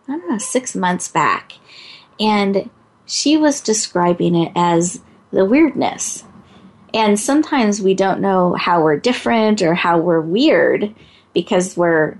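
A woman with a high voice and a giggling laugh, hosting a talk show in a playful, motivational way. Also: a little mellow.

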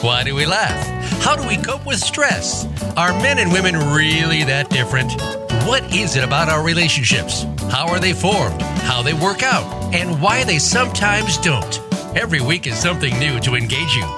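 An announcer reads a radio show promo with a lively, animated delivery, posing questions. Loud music plays beneath the voice. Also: mid-range voice, normal speed.

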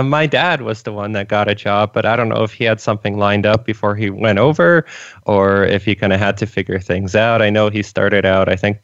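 A man with a North American accent, born in Poland and raised in Canada, answering interview questions in a relaxed way. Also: lowish voice, no echo. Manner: relaxed, laughs now and then, fillers. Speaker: guest, freelancing coach. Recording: dry, dull lo-fi audio.

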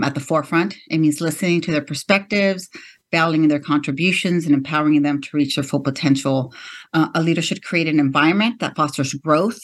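A woman guest in an interview, earnestly laying out her views with frequent "you know" and "um" fillers. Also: sits low. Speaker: guest, media executive. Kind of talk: interview answer.

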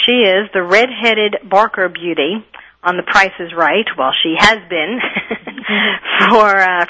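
A woman's voice: mid-range voice, bright, forceful tone, lo-fi sound. A woman hosting a radio talk show as a psychiatrist, chatty and upbeat and laughing often.

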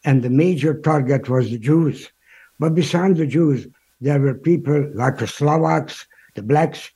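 A man in his eighties from Hungary, speaking with a Hungarian accent, answering interview questions in a halting way with restarts. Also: medium pitch, warm tone, husky, low-quality recording. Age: 80s. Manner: halting, repeats and restarts words. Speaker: guest, Holocaust survivor. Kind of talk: interview answers, recounting history.

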